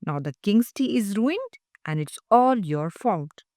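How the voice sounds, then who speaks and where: A woman's voice: mid-range voice, very animated, a little slow, a little mellow, no echo, clean studio sound. A woman voicing a cross male farmer in a storybook, indignant and scolding.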